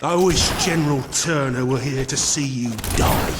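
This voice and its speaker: A man's voice: mid-range voice, normal speed, background noise, natural voice. A man voicing a vengeful, fanatical escaped prisoner turned assassin in a video game, speaking in a theatrical, declamatory way. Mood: cold, threatening. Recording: long hall reverb under loud music.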